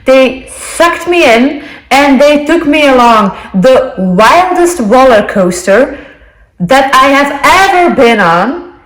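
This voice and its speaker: A woman's voice: high voice, animated, slow pace. A woman from Belgium, a Dutch native speaker with a Belgian Dutch accent, chatting casually and apologetically to camera from her living room floor. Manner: apologetic, gushing, searching for words. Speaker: book vlogger. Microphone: earbuds. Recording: roomy home audio on earbuds.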